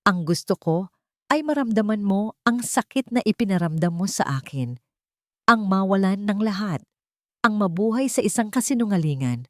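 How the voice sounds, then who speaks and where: A woman voicing a bitter, resolute betrayed wife in an audiobook drama, speaking in a cutting, measured, solemn way. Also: medium pitch, animated, no room sound, clean studio sound, natural voice. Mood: bitter, vengeful.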